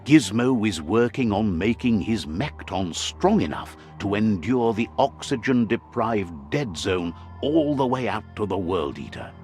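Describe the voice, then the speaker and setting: A man's voice: on the low side, sing-song, a little slow, hardly any pauses, darkish tone, faint music behind. A man giving scripted narration for a video game, a wry storyteller who relays what the characters say.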